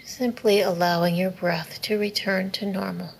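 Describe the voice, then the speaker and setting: A woman teaching a guided meditation in a soothing, measured, instructive way, with soft, breathy phrase endings. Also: mid-range voice, fairly even intonation, poor audio. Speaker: yoga nidra meditation teacher. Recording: faint background noise.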